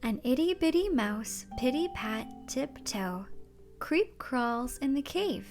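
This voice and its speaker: A woman hosting a children's read-aloud, telling a picture book with deliberate, expressive delivery. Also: high-pitched, soft background music. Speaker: children's storyteller and host.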